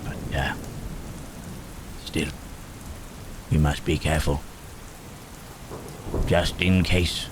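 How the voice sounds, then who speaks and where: A melancholy, protective monster voiced by a man speaks in an extremely deep, subdued voice for an ASMR roleplay. The delivery is slow and halting, with thoughtful, old-fashioned phrasing and very little melodic movement. Steady rain and thunder sound behind it. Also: full character voice. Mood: protective, cautious. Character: gentle, melancholy male Frankenstein's monster, protective and hesitant. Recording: rain and thunderstorm noise throughout.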